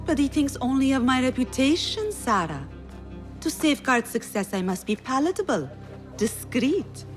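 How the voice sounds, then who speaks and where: A woman's voice: sits high, very animated, moderate pace, soft background music. A woman playing a proud, witty, flirtatious poet in a video game, speaking in eloquent, theatrical phrases. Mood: proud, reflective. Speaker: game character, poetess.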